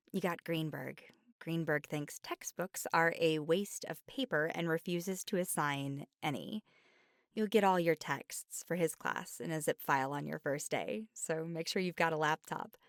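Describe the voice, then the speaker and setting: A woman's voice: fairly low pitch, gravelly, no room sound, clean studio sound. A young woman playing a friendly, easily flustered college bookshop clerk in a chatty ASMR roleplay. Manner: chatty, stammering when flustered. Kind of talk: ASMR roleplay, scripted one-sided conversation.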